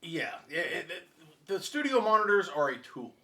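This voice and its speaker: A man's voice: high-pitched, very animated, normal speed, sharp tone. A man explaining technical matters in conversation as a co-host, emphatic and repeating his key points. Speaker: audio reviewer and speaker designer, co-host.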